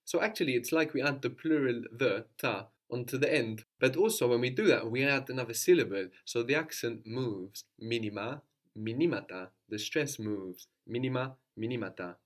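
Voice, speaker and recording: A man's voice: mid-range voice, moderate pace. A man teaching a language lesson, patiently prompting his student with questions and repeating the answers back.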